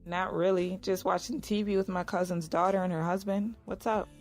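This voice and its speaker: A woman's voice: lowish voice, steady tone, normal speed, darkish tone, soft background music, lightly acted. An actress playing a young married woman in an audio drama, narrating and talking in a wry, conversational way. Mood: playful. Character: Iris, weary young married woman narrating her own story. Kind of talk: first-person audio drama narration and dialogue.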